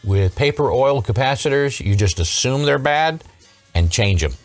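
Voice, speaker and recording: A man's voice: mid-range voice, lively intonation, moderate pace, faint music behind. A man giving a casual hands-on repair tutorial, explaining and thinking aloud as he goes. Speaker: vintage electronics repair host.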